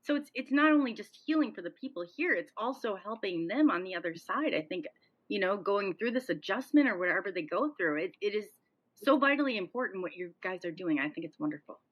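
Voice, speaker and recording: A woman's voice: medium pitch, lively intonation, fairly quick. A woman hosting a podcast, reacting to her guests with effusive enthusiasm. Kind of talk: casual podcast chat. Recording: slightly dull, lo-fi sound.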